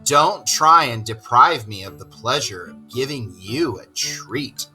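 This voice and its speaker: A man's voice: mid-range voice, animated, slow, bright, forceful tone, soft background music, exaggerated voice. A man voicing a big, brash, scornful barge woman in a children's storybook read-aloud. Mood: amused, bossy. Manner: exclamatory, scornful.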